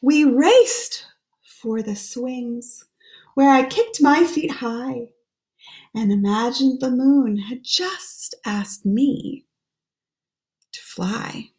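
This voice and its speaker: A woman in her thirties gently reading a children's picture book aloud and explaining the pictures as she goes. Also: sits high, very slow. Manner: gentle, explaining pictures between read lines. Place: small room at home.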